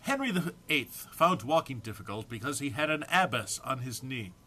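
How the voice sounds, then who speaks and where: A man's voice: medium pitch, big pitch swings, normal speed, sharp tone, slightly rough. A man giving a theatrical, amused dramatic reading of a humorous written text, with emphatic phrasing. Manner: amused, prone to breaking into laughter. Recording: faint background noise.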